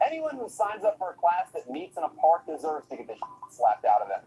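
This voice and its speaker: A man hosting a comedy show, narrating clips and interviewing a guest with deadpan, mocking sarcasm. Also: fairly high pitch, fairly quick, heavy background noise.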